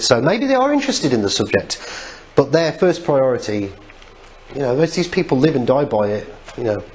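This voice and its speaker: Male lecturer, mid-pitched, informal and opinionated, live recording with some background noise. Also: darkish tone. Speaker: presenter giving a lecture.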